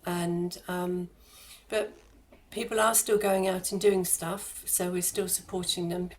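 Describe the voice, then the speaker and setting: Low, even-toned woman over background noise. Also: unhurried. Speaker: guest, charity head of fundraising and communications. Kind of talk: radio interview answers, explanatory.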